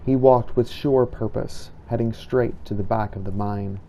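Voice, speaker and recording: A man voicing a solemn, righteous vampire-hunting inquisitor, narrating his hunt in formal, measured, old-fashioned phrasing for a game roleplay. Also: mid-range voice, fairly even intonation, warm tone, a little hiss or hum, lightly acted, poor audio. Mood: brooding, measured. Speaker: video game player narrating in character. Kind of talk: scripted first-person roleplay narration.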